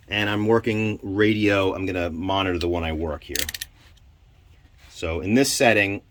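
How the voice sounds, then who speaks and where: A man giving an informal hands-on equipment demonstration, halting and thinking aloud as he goes. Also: sits low, fairly bright tone. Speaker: presenter demonstrating radio equipment. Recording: clear background noise.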